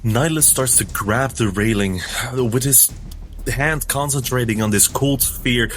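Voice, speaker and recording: Mid-pitched man playing a tabletop game. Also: measured pace, a bit bright, a little hiss or hum. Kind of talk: describing character actions in third person. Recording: background music under the voice.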